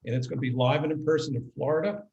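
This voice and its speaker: Halting male webinar host. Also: mid-range voice, lo-fi sound, background noise. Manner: halting, frequent throat clearing. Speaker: webinar host and compliance consultant. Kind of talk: scripted webinar introductions with casual asides.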